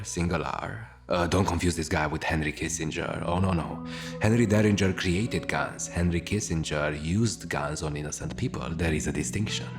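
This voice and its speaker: A man playing a melancholic, world-weary radio host, delivering a brooding, wry confessional monologue in florid words. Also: deep voice. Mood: musing, wry. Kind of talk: scripted radio monologue, noir-like confessional narration. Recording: music under the voice. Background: guitar music.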